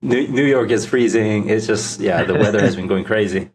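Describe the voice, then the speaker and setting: A man's voice: lowish voice, moderate pace, husky, poor audio. A middle-aged man from South Korea with a Korean accent, giving casual answers as a podcast guest. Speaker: podcast guest, startup founder.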